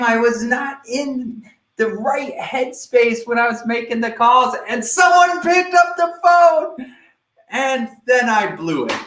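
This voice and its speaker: A man giving a live online sales training, energetic and speaking directly to viewers, often checking in with "right?". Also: extremely high pitch, roomy sound, low-quality recording.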